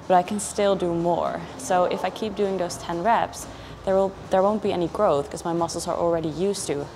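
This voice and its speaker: A woman's voice: lowish voice, background noise. A woman in her twenties, chatting instructively in a casual fitness vlog. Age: 20s. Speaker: fitness vlogger and trainer. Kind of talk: casual vlog explaining exercises. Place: indoor gym, later a home kitchen. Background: music.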